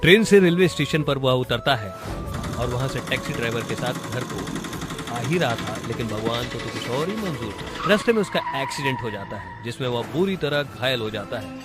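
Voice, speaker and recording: A man's voice: medium pitch, lively intonation, normal speed. A man narrating a cartoon horror story as a scripted storyteller. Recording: music behind the voice.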